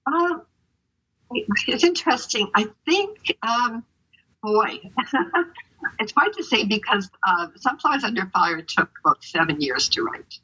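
An older woman from Canada, raised speaking Ukrainian, talks in a bright but breathy voice. She rambles and loses her thread, with many fillers and restarts. The audio sounds muffled and lo-fi. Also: fairly high pitch, animated, unhurried. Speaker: author being interviewed. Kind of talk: casual interview answers.

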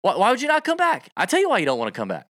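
A man's voice: high voice, very fast, no echo, studio quality. Emphatic man talking golf. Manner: animated, emphatic, piles on stats. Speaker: golf betting co-host. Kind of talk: casual livestream chat.

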